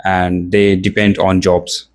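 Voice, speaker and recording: Young Indian male learner, Indian English accent, halting, filler-heavy delivery, lower register. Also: monotone. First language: Hindi or another Indian language. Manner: hesitant, searching for words, many fillers. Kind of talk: casual practice conversation.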